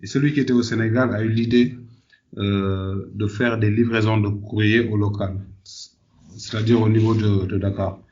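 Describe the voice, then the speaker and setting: A man's voice: lowish voice, steady tone, moderate pace, a little mellow, muffled recording. A man from Senegal answering conversationally as an interview guest, often repeating words as he searches for phrasing. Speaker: podcast guest, entrepreneur.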